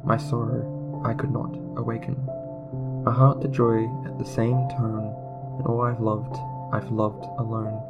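A man reciting poetry solemnly, in short, deliberate, measured phrases. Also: medium pitch, completely flat delivery, vocal fry, highs cut off. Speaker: poetry reader. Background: plucked guitar music.

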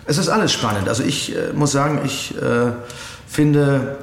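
A man giving reflective interview answers as a voice actor, halting and hesitant as he searches for words. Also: mid-range voice, creaky. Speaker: interview guest, audio drama voice actor. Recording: roomy echo with faint background noise.

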